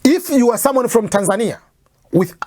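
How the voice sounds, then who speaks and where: A woman's voice: on the high side, lively intonation, normal speed, darkish tone, no echo, clean studio sound. A woman from East Africa with an East African accent, a native Swahili speaker, gives an informal lecture on English test preparation and keeps restating her points with examples. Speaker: English test-prep teacher.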